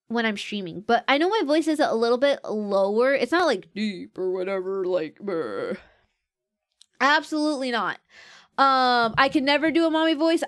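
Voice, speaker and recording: A woman streaming, chatting casually and rambling with fillers, letting her pitch swing with her mood. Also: fairly bright tone.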